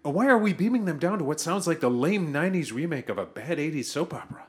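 A man's voice: on the high side, lively intonation, fast, close to an everyday voice. An actor playing a greedy, self-important starship crewman in a sci-fi parody audio drama, whiny and melodramatic. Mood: indignant.

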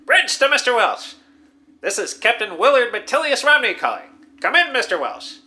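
A pompous starship captain, as a man acts him, barking orders and announcing his full title in a comedy audio play. The voice is sharp and piercing, high for a man and full of swoops. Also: big pitch swings, moderate pace, exaggerated voice. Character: pompous self-important male starship captain.

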